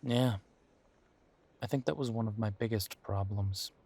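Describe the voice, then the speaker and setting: A man acts out a weary werewolf boyfriend in an intimate audio drama. His voice sits fairly low and moves very slowly. He speaks in a casual, wry way, hesitating and trailing off between thoughts. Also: creaky, a little mellow. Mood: weary, bitter. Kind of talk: intimate roleplay audio drama, conversational monologue.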